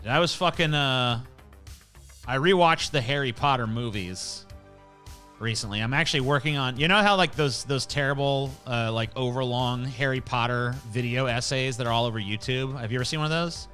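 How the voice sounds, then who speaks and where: A man's voice: medium pitch, moderate pace, sharp tone, faint music behind. A man hosting a livestream, reading chat comments aloud in a rambling, profane, casual way. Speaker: livestream host.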